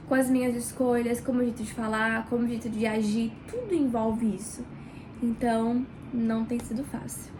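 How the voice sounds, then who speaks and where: Brief: high-voiced Brazilian woman, book club host, nasal from a cold, chatty and direct, small kitchen with a faint hum behind. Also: poor audio. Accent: Brazilian Portuguese. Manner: warm, chatty, addresses viewer directly with pauses. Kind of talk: casual devotional reading vlog.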